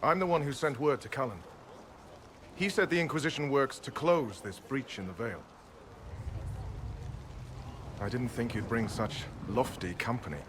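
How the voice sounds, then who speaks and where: Male actor as a dutiful knight for a fantasy game: grave, formal, mid-pitched, wary of orders, noisy ambience behind. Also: normal speed, lightly acted. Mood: grave, frustrated. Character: earnest, duty-bound Templar knight, wary of his orders. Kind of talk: dramatic video game cutscene acting.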